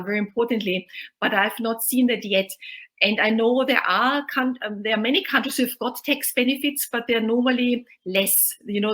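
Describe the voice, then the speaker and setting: Woman moderating, higher voice. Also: fairly bright tone. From Austria. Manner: chatty, often says "you know". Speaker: host and moderator. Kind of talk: webinar panel moderation.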